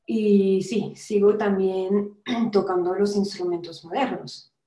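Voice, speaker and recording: A woman's voice: mid-range voice, steady tone, slow pace, dark tone. A woman in her thirties from France with a French accent, lecturing on a video call, careful and searching for words. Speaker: guest lecturer, trumpeter. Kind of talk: online lecture. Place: room with brass instruments. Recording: roomy video-call audio.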